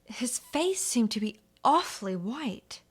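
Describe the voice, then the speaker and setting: A jittery, frightened wife, acted by a woman in a radio horror play. Her voice is fairly high and swings widely in pitch. She sounds anxious and unconvinced by any comfort. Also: very animated, slow, husky, exaggerated voice. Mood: hushed worry. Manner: anxious, doubting. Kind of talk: radio horror drama acting.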